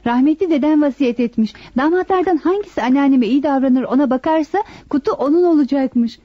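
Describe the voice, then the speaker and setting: An actress playing a sly, insistent young daughter in a radio play, on a muffled, dry recording. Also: female voice, sits high, a little fast, no room sound, lightly acted. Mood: eager, insistent. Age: young adult. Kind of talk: radio theatre acting.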